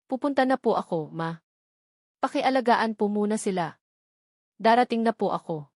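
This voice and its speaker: A woman's voice: mid-range voice, animated, moderate pace, darkish tone, no echo, clean studio sound, natural voice. A woman narrator voicing an anxious but defiant young single mother in a romance story, deferential and determined. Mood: panicked, worried.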